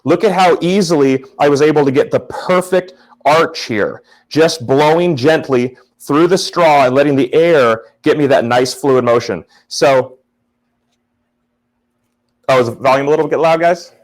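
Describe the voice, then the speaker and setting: A young man from Florida with a loud voice, teaching a casual live photography workshop and talking to viewers in the chat. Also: a little high, measured pace, poor audio. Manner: casual, upbeat, talks to chat. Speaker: photography instructor.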